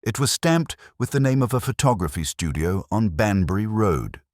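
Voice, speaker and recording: A man reading a scripted first-person detective story in a measured, literary, brooding way. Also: on the low side, sing-song, darkish tone, no echo, studio quality. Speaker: narrator.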